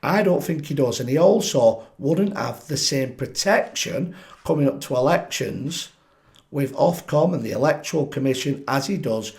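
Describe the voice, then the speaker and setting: A man hosting his own political commentary channel, croaky from a chest infection, talking animatedly and putting questions straight to his viewers. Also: mid-range voice, moderate pace. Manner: animated, asks viewers directly, frequent restarts. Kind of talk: opinionated solo commentary to camera.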